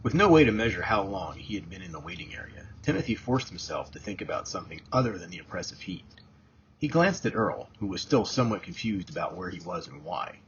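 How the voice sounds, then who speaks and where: A man with a husky voice reading his own novel aloud as scripted narration. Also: sits low, very animated, fast talker, slight background noise. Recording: dull, muffled lo-fi recording.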